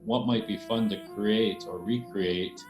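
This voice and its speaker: A man's voice: fairly low pitch, fairly even intonation, a little slow. A man answering interview questions as a theater director, explaining things conversationally. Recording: roomy echo, faint music.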